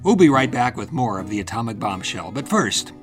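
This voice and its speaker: A man's voice: medium pitch, sing-song, a little fast, faint music behind. A man hosting and narrating a scripted drama podcast in a theatrical, playful, tongue-in-cheek way.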